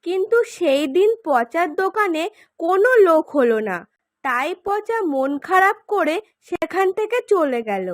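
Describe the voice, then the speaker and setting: A woman's voice: very high voice, lively intonation, unhurried. A woman narrating a cartoon story from a script. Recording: music clearly behind the voice.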